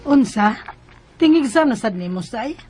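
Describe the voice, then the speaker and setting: A bossy lady teacher and employer in a Filipino radio drama. The voice is high-pitched, with a Bisaya accent and an air of authority. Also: lively intonation, unhurried, music in the background. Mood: casual. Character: bossy female schoolteacher employer, quick to scold.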